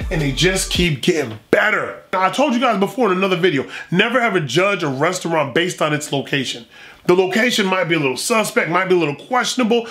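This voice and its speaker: A man's voice: high-pitched, fairly bright tone. A middle-aged man hosting a food vlog, reading reviews aloud with playful, emphatic commentary and repetition. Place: small furnished room indoors. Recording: echoey indoor room.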